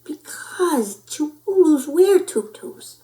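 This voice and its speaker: Woman as a little girl kitten, sassy, pert, singsong, high pitch, very lively intonation, storybook character. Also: slow pace, exaggerated voice. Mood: matter-of-fact sass.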